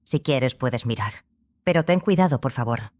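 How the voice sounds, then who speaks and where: A composed female mentor sorceress, acted by a woman in a fantasy audiobook. Her voice is low and close, without room sound. She gives instruction with measured authority. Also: lively intonation, a little mellow, dry recording. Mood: dry, cautioning.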